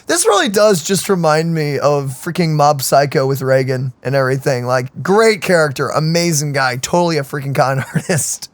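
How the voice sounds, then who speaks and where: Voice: medium pitch, expressive, moderate pace, few pauses, no echo. A streamer co-host giving casual gameplay reaction commentary in a rambling, slangy way.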